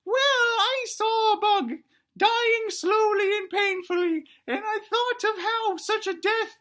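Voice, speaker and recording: A woman's voice: very high voice, steady tone, takes it slowly, fairly bright tone, pitched above the performer's own voice, heavily acted. A woman voicing an earnest, frightened little boy dinosaur who speaks in grand, theatrical phrases in a comic story. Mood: earnest, eager.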